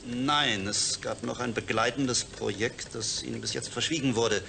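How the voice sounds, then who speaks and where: Creaky-voiced project scientist. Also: male voice, mid-range voice, steady tone, moderate pace, bright, forceful tone, a little hiss or hum. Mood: explaining, measured. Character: explanatory, matter-of-fact male project scientist. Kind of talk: German radio play acting.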